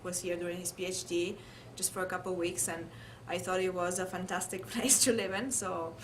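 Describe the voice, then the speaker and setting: Low-pitched Italian woman, noisy recording. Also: steady tone, moderate pace. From Italy. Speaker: interviewee. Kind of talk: oral history interview, personal recollection. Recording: clear background noise, room ambience.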